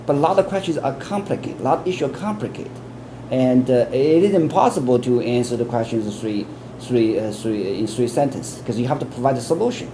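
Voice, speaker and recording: A man with a Chinese accent speaks in an interview, earnest and patient, often stalling on repeated words before pressing on with his point. His pitch sits at an ordinary middle. A clear air conditioning hum runs underneath. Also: expressive, brisk. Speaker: interviewee, English test expert. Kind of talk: explanatory interview answers.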